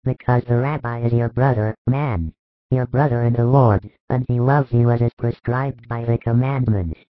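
Guy doing a kind rabbi, calm n firm, earnest reassuring preachy tone, dry muffled audiobook sound. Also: medium pitch, normal speed, no room sound, close to an everyday voice. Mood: compassionate. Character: calm, compassionate male rabbi, Jesus, gently authoritative.

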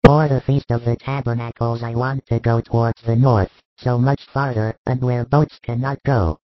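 A man voicing a calm, authoritative religious master who instructs his followers in a measured, commanding way. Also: medium pitch, dull audio, noisy background, lightly acted. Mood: firm, patient.

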